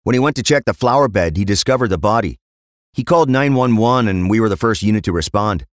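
A man's voice: fairly low pitch, lively intonation, fast talker, dry recording, clean studio sound, voice pushed up, close to an everyday voice. A man voicing an earnest, deferential police constable in an audiobook crime story. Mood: dutiful reporting. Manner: deferential, dutiful.

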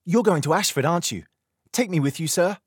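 Bold high-pitched young stable boy who talks back, a man voicing him, husky edge, dry close sound. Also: expressive, a little fast, no room sound. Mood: pleading. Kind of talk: audiobook character voice.

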